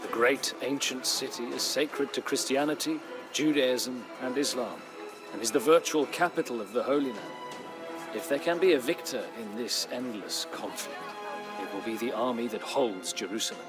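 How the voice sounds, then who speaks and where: Male actor as weary middle-aged knight, medium-pitched man's voice, grave chronicler, solemn measured delivery, dramatic game narration, music behind. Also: lightly acted. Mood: grave, reflective. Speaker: campaign storyline narrator. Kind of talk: dramatic scripted first-person narration. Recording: music under the voice.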